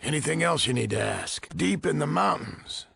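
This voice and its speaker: A weary, gruff old American leader of a military order in a video game. His voice is very gravelly and husky, pitched a little high for a man, with a hard forceful edge. He talks in a blunt, folksy grumble, recorded close and dry. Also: animated, moderate pace, dry recording, full character voice. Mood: businesslike. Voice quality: gravelly, raspy. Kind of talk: video game character dialogue.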